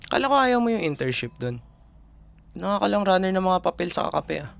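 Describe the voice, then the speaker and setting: A man's voice: high-pitched, sing-song, fairly quick, gravelly, fairly bright tone, heavily acted. A young man with a Filipino accent playing a caring but exasperated college boyfriend in an intimate audio roleplay, talking casually and earnestly in mixed Tagalog and English. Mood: concerned, coaxing. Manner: casual Taglish, coaxing and earnest.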